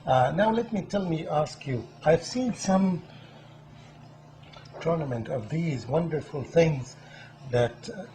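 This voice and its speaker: A man with a soft-edged, mellow voice interviews as a guest host on a talk show. He repeats answers back and offers effusive praise. There is faint noise in the background of the recording. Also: a little high, expressive, slow, long pauses, poor audio.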